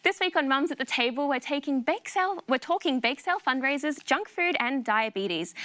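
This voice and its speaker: A woman's voice: high-pitched, pushed and bright, no room sound. A woman hosting a TV panel show, chatting and presenting in a studio.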